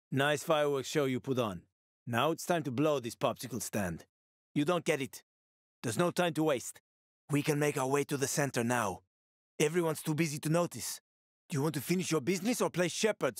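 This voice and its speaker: Game role: world-weary, wisecracking male survivor, husky with heavy fry, slangy and sardonic. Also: on the high side, no room sound, clean studio sound, heavily acted. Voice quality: gravelly, husky. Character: wry, weary middle-aged male survivor, betrayed friend turned fighter. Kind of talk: video game voice acting.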